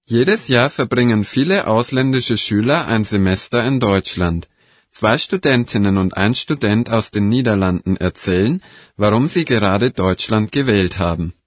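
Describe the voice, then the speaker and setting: A man announcing a language listening exercise slowly and deliberately. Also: fairly low pitch, animated, no echo, clean studio sound. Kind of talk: slow scripted announcing.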